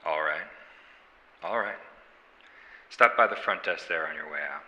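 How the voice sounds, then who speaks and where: An actor playing a sardonic small-town homicide detective in an audio drama, needling a suspect in a folksy, deliberate, quietly menacing way. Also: male voice, deep voice, in a large hall, exaggerated voice, rough recording. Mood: cold, needling. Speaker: police detective. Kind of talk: audio drama interrogation acting.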